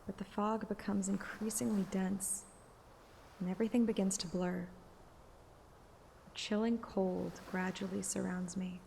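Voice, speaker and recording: Female story narrator, first-person audiobook read, mid pitch with vocal fry, music bed. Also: flat delivery, unhurried, pauses often, warm tone, slight background noise. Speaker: narrator and streamer.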